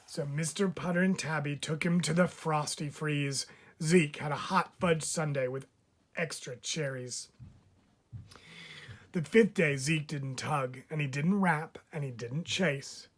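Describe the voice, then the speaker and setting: A man's voice: fairly high pitch, unhurried. A man reading a children's story aloud like a teacher, drawing out phrase endings for emphasis.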